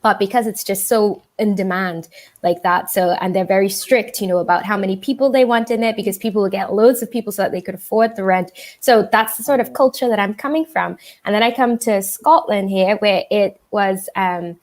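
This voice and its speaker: A woman's voice: medium pitch, a little fast. Chatty woman from the Bahamas. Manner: chatty, explains step by step with small restarts. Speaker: panelist. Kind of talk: casual panel talk. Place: home in Scotland, video call.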